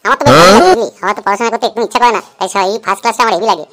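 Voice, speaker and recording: A woman playing a carefree, lazy young pupil in a comedy cartoon, gabbling with excitable glee. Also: mid-range voice, racing, natural voice. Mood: carefree, chatty.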